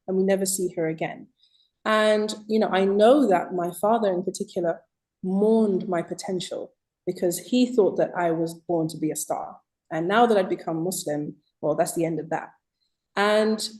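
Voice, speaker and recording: A woman with a British accent speaks as an interview guest, her voice mellow and warm at an average pitch. She tells her story reflectively and leans into key points with emphasis. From Zimbabwe. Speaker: guest author.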